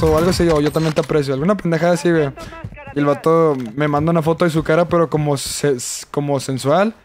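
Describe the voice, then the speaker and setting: A young Mexican man streams a shooter in a slightly high, hoarse voice. He talks fast in slangy, sweary casual chat, with gunfire and clicks behind him. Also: darkish tone, a little hiss or hum. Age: young adult. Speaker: streamer playing a shooter game. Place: small indoor gaming room.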